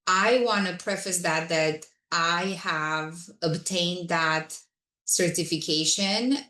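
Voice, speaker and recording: A woman's voice: fairly low pitch, takes it slowly, sharp tone, reverberant. A woman from Romania, a guest telling her career story in an interview with frequent laughs. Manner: reflective, laughs often.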